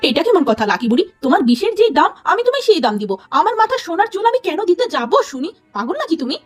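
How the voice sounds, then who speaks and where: Woman voicing spiteful young sister, cartoon, shrill, scornful, bossy, high voice, faint music. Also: very animated, fast. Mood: scheming.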